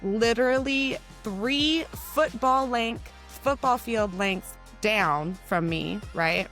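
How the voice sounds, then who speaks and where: A middle-aged woman co-hosting a podcast in casual chat, loud and projecting, a bit hoarse from cheering, acting out quotes as she tells stories. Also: fairly high pitch, slow, soft background music. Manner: animated storyteller, acts out quotes. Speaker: podcast co-host.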